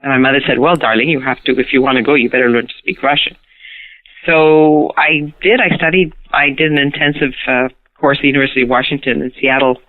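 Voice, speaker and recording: A middle-aged woman from Canada whose first language was Hungarian, speaking as an interview guest in a lively, chatty way. She laughs easily and often stumbles over her opening words. Her voice comes through a thin, narrow phone line. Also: very deep voice, fast talker. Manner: lively, laughing, repeats words when starting sentences. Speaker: guest actress. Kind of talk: casual interview chat.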